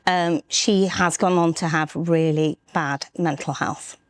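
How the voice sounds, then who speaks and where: A middle-aged woman from North Wales tells her own story with earnest openness. Her voice sits fairly low and often breaks off to restart a thought. The close, dry sound keeps her near. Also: steady tone, dry recording. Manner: earnest, halting, restarts mid-sentence. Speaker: interview guest, estate agency director. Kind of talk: candid personal storytelling.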